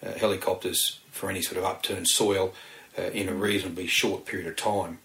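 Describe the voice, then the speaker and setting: An Australian man with a fairly low voice speaks as a former detective being interviewed. He explains things off the cuff, in a halting, roundabout way with plenty of hedging. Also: little pitch movement, a bit bright. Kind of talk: unscripted expert interview.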